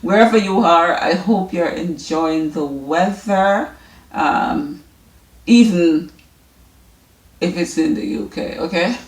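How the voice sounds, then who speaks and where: A woman's voice: on the low side, slow pace. A woman with a Jamaican accent, chatting informally and loosely to her viewers as the host of a wellness vlog. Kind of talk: casual rambling chat to viewers. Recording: echoey room, distant mic.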